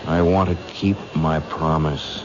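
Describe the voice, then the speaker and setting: Deep, slow, menacing killer. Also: male voice, fairly even intonation. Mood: cold insistence. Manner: deliberate, taunting, ominous. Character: menacing, vengeful male killer, coldly bent on a promise. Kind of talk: 1970s radio drama acting. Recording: muffled, noisy old radio broadcast.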